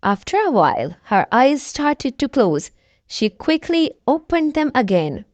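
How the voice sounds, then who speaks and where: A woman's voice: sits high, big pitch swings, unhurried, darkish tone, no room sound, dull audio. A woman reading a children's bedtime story aloud as a storyteller.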